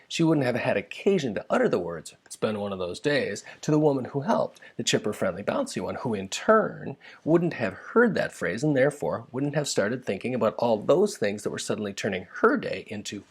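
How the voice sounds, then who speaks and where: A man's voice: lowish voice, slightly rough. A man reads his own humorous first-person essay in a wry, conversational, self-deprecating way.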